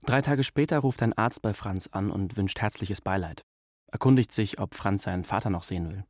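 A man's voice: on the low side, normal speed, no echo, studio quality, lightly acted. An actor playing a polite, matter-of-fact male hospital attendant in a radio drama, who also narrates. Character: businesslike, courteous hospital attendant, man.

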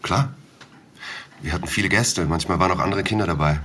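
A hesitant, deep-voiced villager. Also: male voice, fairly quick, pushed and bright. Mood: recalling. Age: 30s. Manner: hesitant, many fillers and small slips. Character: hesitant village man, father of two, helpful. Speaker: villager and witness. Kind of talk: audio drama acting.

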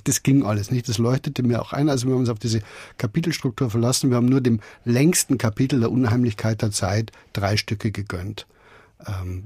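A man's voice: on the low side, a little mellow, breathy edge, no room sound. A man speaking as a radio drama director in an interview, conversational and reflective, often hesitating as he searches for words.

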